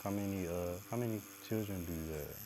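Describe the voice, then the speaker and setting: A man's voice: low-pitched, fairly quick, warm tone, slight background noise. A man in his twenties hosting a casual chat while working as a tattoo artist. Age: 20s. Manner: laid-back, short replies. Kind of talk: casual conversation. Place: tattoo studio.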